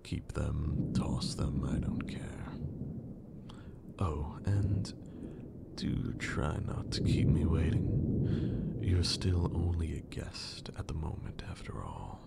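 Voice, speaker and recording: A man playing a haughty, teasing gentleman vampire in an intimate ASMR roleplay, speaking formally in a breathy half-whisper with condescending endearments. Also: extremely low pitch, lively intonation, slow pace, heavily acted. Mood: haughty, dismissive.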